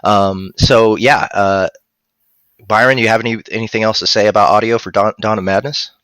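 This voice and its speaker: A man in his thirties with a low voice and a bright, forward edge. He talks casually as a live stream co-host, close on a headset mic with a dry sound. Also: fairly even intonation, moderate pace, smooth, no echo. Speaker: audiobook narrator and producer co-hosting a live stream. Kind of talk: casual live-stream discussion, reading out viewer questions. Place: indoor room at home.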